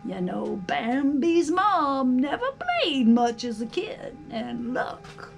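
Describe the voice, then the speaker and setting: High-voiced woman reading a story expressively. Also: sing-song, vocal fry. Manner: theatrical, emphatic, deliberate phrasing. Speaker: storybook reader. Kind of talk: expressive read-aloud narration. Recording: faint background noise.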